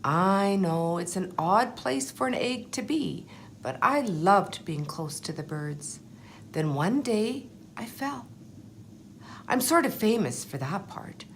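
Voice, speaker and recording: A woman voicing a humble, anxious but determined egg telling his life story in a storybook, in an earnest, measured way. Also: medium pitch, big pitch swings, slight background noise. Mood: modest. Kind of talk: storybook first-person narration.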